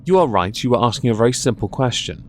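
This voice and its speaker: A man's voice: mid-range voice, very animated, fast talker. Sardonic man commentator, faint noise behind. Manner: sardonic, scolding. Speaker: commentary show host. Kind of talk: commentary on viral video clips.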